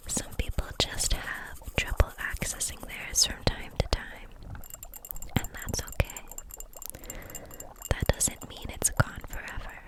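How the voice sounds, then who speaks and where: A woman whispering an ASMR roleplay as a gentle, caring kitchen witch, soothing and reassuring in manner. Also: slow pace, rough recording.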